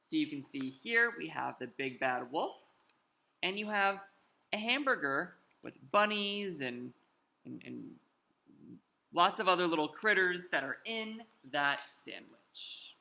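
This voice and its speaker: Animated male storyteller with a bright voice. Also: high-pitched, measured pace, vocal fry. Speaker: drag queen storyteller. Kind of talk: children's read-aloud, explaining pictures.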